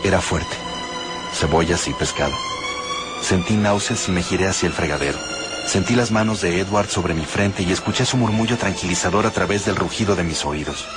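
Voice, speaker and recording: A man narrating an audiobook with measured, dramatic storytelling. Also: low voice. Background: music.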